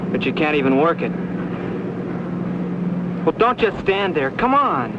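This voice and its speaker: Protective teen brother, slightly high voice, plainspoken, bossy, caring, 1950s TV drama, muffled noisy soundtrack. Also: male voice, sing-song, measured pace. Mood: caring, exasperated. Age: teens. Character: protective, scolding sixteen-year-old big brother.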